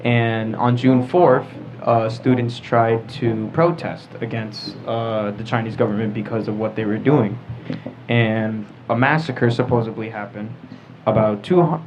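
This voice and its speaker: A teenage American boy hosting a podcast in a casual group discussion. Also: lowish voice. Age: teens. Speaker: podcast host. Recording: muffled lo-fi podcast with clear background noise.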